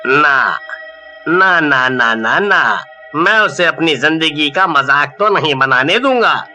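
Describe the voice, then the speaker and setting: A man's voice: on the high side, animated, slow pace, bright, forceful tone, faint music behind, heavily acted. A man voicing a vengeful young bully in a horror story, mocking and menacing. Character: vengeful, taunting young male village bully. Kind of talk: Hindi animated horror story acting.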